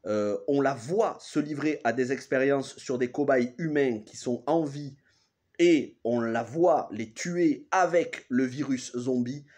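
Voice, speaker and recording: A man in his thirties speaks with the congested sound of a cold, his pitch sitting in an ordinary middle range. He recaps a TV episode casually and haltingly, pausing and correcting names as he goes. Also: darkish tone. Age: 30s. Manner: hesitant, restarts and corrects himself often. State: has a cold. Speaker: TV series reviewer.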